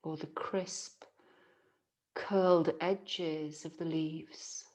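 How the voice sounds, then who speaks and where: Female yoga nidra guide, low-pitched, very slow delivery, soothing and gentle, long pauses. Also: steady tone. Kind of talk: guided relaxation meditation.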